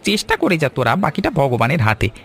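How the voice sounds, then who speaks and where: A man voicing a kindly older village shopkeeper, avuncular and encouraging, in an animated story. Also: a little high, fairly quick, husky, a faint crowd, heavily acted. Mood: proud, encouraging.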